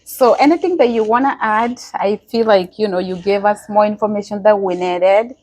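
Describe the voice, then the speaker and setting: A woman's voice: medium pitch, moderate pace, darkish tone. A woman hosting a talk show interview who encourages her guest with frequent short affirmations.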